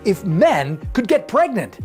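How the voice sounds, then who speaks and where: A woman narrates an explainer video from a script. Her voice sits at a middle pitch with very lively intonation, and soft music plays behind her. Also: measured pace.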